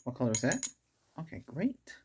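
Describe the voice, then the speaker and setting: A middle-aged man doing a soft-spoken ASMR doctor roleplay, murmuring unhurried questions close to the mic in a creaky, breathy voice. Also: medium pitch, huge pitch swings, over music. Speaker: ASMR performer playing an ER doctor examining a patient. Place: small indoor setup with cloth backdrop.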